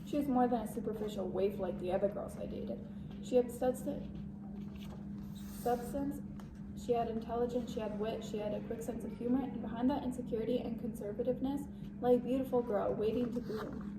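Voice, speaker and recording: A woman's voice: fairly high pitch, fairly even intonation, a little fast, close to an everyday voice. Sincere teen quarterback, read by a woman, very noisy. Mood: tender. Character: sincere, pressured teenage football quarterback, a romantic boy. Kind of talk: amateur dramatic monologue reading. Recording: echoey, distant, very noisy room.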